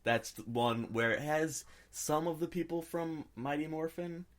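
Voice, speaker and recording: A man's voice: on the high side, unhurried. A man co-hosting a podcast, chatting casually about pop culture and giving his opinions freely. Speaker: podcast co-host.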